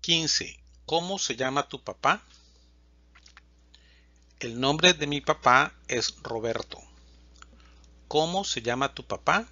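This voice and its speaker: Slow, bright-toned man teaching Spanish. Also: fairly high pitch, animated, no room sound, dull audio. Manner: reads questions and answers slowly and distinctly, pausing between lines. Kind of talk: slow, clear language lesson reading example dialogues.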